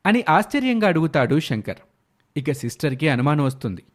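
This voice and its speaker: A male storyteller narrates a moral tale from a script. His voice sits a little higher than most men's. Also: big pitch swings, a little fast.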